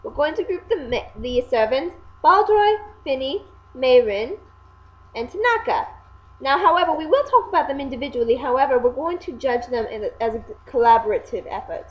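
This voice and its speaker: A young woman co-hosting a casual video, chatting excitably and often correcting herself. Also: sits high, moderate pace. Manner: animated, self-correcting, excitable. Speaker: video co-host reviewing anime voice acting. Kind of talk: casual chat. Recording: dull lo-fi home recording.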